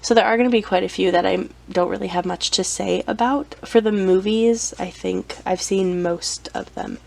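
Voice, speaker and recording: Young adult woman, vlogger with a cold; gravelly, chatty, relaxed show-and-tell. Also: mid-range voice, normal speed. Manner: chatty, with frequent ums and self-corrections. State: has a cold. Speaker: collector showing her anime collection. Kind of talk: casual show-and-tell vlog.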